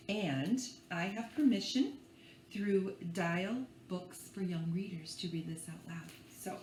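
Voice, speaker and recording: A woman reading a picture book to young children in an expressive, playful and theatrical way, drawing out words and adding hushed asides. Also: fairly low pitch. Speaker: librarian reading at children's storytime. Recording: echoey room with faint background noise.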